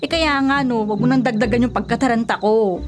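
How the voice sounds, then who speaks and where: An actress playing an anxious, stubborn older sister who is easily spooked, earnest and fretful, in a Filipino radio drama. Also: female voice, a little high, fast talker, music in the background, natural voice.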